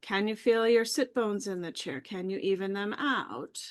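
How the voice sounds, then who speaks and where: A woman's voice: mid-range voice, expressive, normal speed. A woman guest talking casually on a live video call. She sounds easygoing and chatty, and laughs readily.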